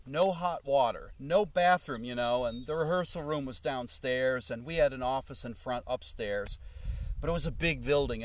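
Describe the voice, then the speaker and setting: Rambling man telling old stories. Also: mid-range voice. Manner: rambling, lively, frequent "you know" asides. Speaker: former road manager recalling the past. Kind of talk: casual anecdotal storytelling. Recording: voice a little far from the mic.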